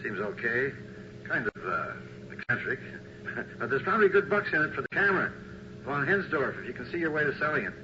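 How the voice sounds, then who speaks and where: Nervous male shopkeeper, halting phone-message delivery, medium pitch, muffled radio drama recording. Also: big pitch swings, sharp tone. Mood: uneasy. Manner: halting, hesitant, nervous chuckles. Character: nervous male camera shop acquaintance leaving phone message. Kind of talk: 1980s radio drama acting. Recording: noisy, muffled answering machine message.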